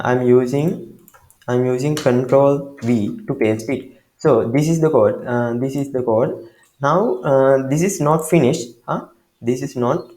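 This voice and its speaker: Give me a man with a Sri Lankan accent talking slowly with a warm, dark tone. He explains the steps haltingly, stopping often to restart, and the room around him is echoey. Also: medium pitch. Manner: hesitant, halting, many fillers and restarts. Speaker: tutorial maker. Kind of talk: screen-recorded software tutorial.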